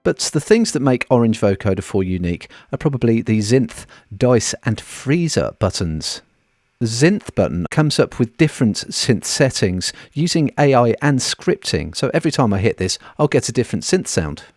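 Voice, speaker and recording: A man presenting an audio software walkthrough in a scripted, explanatory narration, recorded close on a voice-over mic. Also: medium pitch, very animated, normal speed, dry recording.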